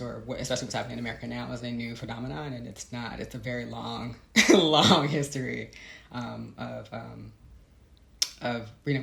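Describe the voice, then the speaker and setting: A woman's voice: a bass voice, vocal fry, fairly bright tone, reverberant, slight background noise. A woman author giving reflective, conversational interview answers in a thoughtful, hesitant manner. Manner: thoughtful, hesitant, often laughing lightly. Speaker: guest author.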